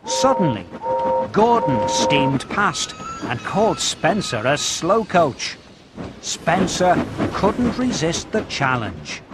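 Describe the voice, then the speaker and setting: Animated, unhurried man narrating for children. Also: a little high, very noisy. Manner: addresses young listeners with questions and countdowns. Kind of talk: scripted children's story narration. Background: music.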